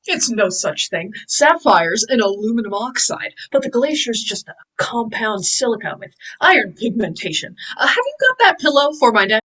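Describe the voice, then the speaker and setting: A woman's voice: a little high, big pitch swings, normal speed, hardly any pauses, sharp tone, heavily acted, rough recording. A woman playing a fussy, pedantic, self-important professor in a fan table read. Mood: dismissive, fussy. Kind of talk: fan table read over video call.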